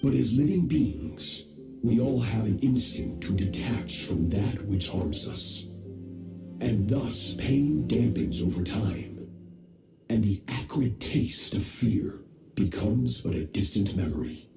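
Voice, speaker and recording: An actor playing a cold, menacing ancient master of the abyss, speaking slowly and gravely. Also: male voice, on the low side, lively intonation, heavily acted. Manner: slow, grave, threatening delivery. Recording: echoey game audio with music.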